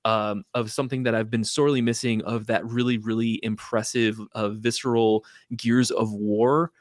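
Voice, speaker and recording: A man's voice: mid-range voice, little pitch movement, normal speed, no room sound, clean studio sound. A man co-hosting a casual gaming podcast, talking in an informal, rambling, enthusiastic way. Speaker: podcast co-host.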